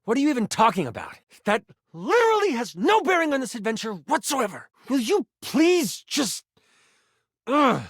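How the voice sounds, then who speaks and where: A man's voice: very high-pitched, huge pitch swings, normal speed, fairly bright tone, dry recording, clean studio sound, full character voice. An actor playing an exasperated, sardonic male game master, a living die in a video game, narrating with theatrical flair. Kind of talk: tabletop game narration with banter, video game voice acting.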